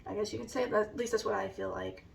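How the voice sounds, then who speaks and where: A woman with a raspy, allergy-hoarse voice, slowly and hesitantly showing a scrapbook in a casual vlog. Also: medium pitch, wildly swooping pitch, far from the mic. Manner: slow and hesitant, many ums. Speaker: vlogger. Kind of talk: casual show-and-tell vlog.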